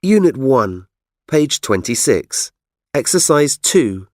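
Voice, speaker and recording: Slow, deliberate male announcer. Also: medium pitch, sing-song, darkish tone, no room sound, studio quality. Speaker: announcer of course tracks. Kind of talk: track announcements.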